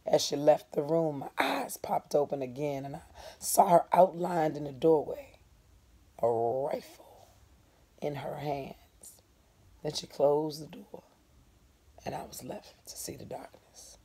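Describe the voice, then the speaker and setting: A woman narrates a novel in the first person with dramatic expression. Her voice sits low, with a mellow, husky grain, and she can drop into hushed, breathy tones. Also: takes it slowly. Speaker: storyteller reading a novel aloud.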